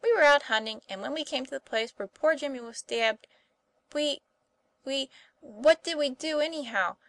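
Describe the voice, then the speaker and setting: A woman's voice: fairly high pitch, very animated, moderate pace, creaky, bright, forceful tone, no room sound, pitched above the performer's own voice, heavily acted. A woman voicing a plucky, blunt young schoolboy in an audiobook, boyish and exclamatory in manner. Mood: puzzled, wondering. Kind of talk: audiobook character voice.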